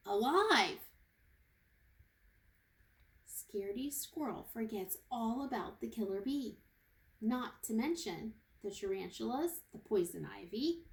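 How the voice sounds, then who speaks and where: Slow, high-voiced woman reading to children. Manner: expressive, deliberate phrasing for young children. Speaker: kindergarten teacher reading aloud. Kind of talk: children's storybook read-aloud. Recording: echoey room with faint noise.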